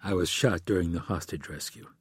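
A man's voice: low-pitched, a little fast, husky, dry recording, clean studio sound, voice pushed down. A man voicing an evasive, embarrassed husband, a tough operative who answers hesitantly and tries to placate, as in an audiobook. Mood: defensive.

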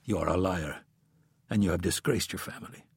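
A man voicing a cold, controlled male assassin who speaks low and quietly with menace. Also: a little fast, vocal fry, slightly rough, no room sound, exaggerated voice.

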